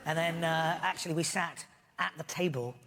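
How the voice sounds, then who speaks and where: A man's voice: a little high, normal speed, gravelly, pushed and bright. A man, a guest on a chat show, telling chatty self-deprecating anecdotes. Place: TV studio. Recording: long studio reverb, faint noise.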